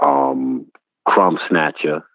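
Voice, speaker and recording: A man's voice: lowish voice, animated, very slow, gravelly, dry recording. A man from Brooklyn, talking casually as a rapper guest in an interview. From Brooklyn, New York. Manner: laid-back slangy storytelling. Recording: tinny phone-like line.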